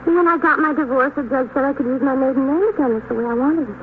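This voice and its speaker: Evasive young woman suspect, played by an actress, high and very animated, touchy, tinny vintage radio crime drama. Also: rapid-fire, slight background noise, close to an everyday voice. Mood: guarded. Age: young adult. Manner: defensive, emphatic, swooping. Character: evasive, indignant young woman under police questioning. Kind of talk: 1950s radio police drama acting.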